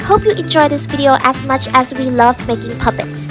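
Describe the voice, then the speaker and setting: A woman's voice: sits high, expressive, fairly quick. A young woman reading a scripted step-by-step craft tutorial. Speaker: tutorial narrator. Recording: music behind the voice. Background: music.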